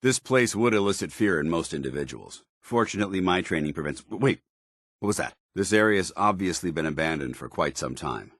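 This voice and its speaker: An actor playing a stern, disciplined middle-aged soldier in power armor, speaking formal, clipped military lines for a video game. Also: male voice, low-pitched, lively intonation, fairly quick, a bit bright, no echo, clean studio sound, heavily acted. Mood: wary. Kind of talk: scripted video game dialogue.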